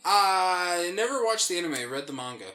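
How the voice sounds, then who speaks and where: A man's voice: sits high, lively intonation, normal speed, pushed and bright. A young man co-hosting a gaming show, chatting casually in a rambling, slangy way as he plays. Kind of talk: casual chat during video game commentary. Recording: game music behind the voice.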